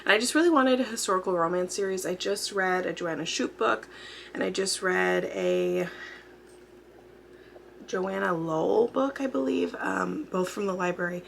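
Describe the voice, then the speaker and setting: A young adult woman with a hoarse, fading voice, chatting casually about what she reads, rambling with fillers and self-corrections. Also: medium pitch, normal speed, fairly bright tone, a little hiss or hum. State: hoarse, losing her voice. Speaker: book vlogger. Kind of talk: casual chat. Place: at home with a dog nearby. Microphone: camera mic.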